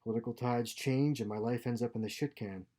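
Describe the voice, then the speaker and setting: A man's voice: medium pitch, fairly even intonation, brisk, dark tone, close to an everyday voice. A man voicing a bitter, wounded starship engineer, resentful and weary, in a story read aloud.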